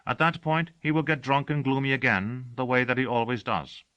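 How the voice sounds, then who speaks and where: A man voicing a cheerful, excitable heavy-set servant who keeps forgetting things. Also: mid-range voice, fairly quick, clean tone, highs cut off, voice pushed up, natural voice.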